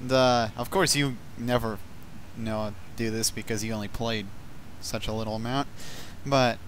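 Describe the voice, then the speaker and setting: Male, mid-pitched, casual gamer banter with swearing and sarcasm, faint noise behind. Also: fairly even intonation, unhurried, fairly bright tone. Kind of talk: casual chat about a video game.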